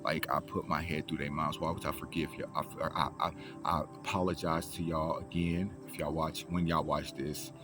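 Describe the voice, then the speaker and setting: A man's voice: extremely low pitch, little pitch movement, normal speed, hardly any pauses. A young man telling his life story in a rambling, profane, casual way, with many restarts. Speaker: vlogger. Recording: loud music playing behind the voice.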